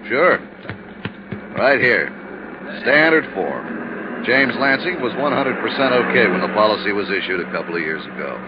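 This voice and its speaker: A man's voice: fairly low pitch, big pitch swings, normal speed, pauses often. An actor playing an easygoing, chummy insurance man in 1950s radio drama style, folksy and colloquial. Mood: wry, chatty. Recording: muffled, noisy 1950s radio recording.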